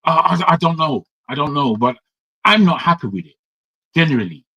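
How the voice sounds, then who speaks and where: A man holds forth on a talk show in a voice sitting a little high for a man. He talks emphatically, circling back and repeating words as he restarts his sentences. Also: animated, a little fast, sharp tone, breathy edge, low-quality recording. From Nigeria. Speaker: panel commentator. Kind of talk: opinionated talk-show commentary.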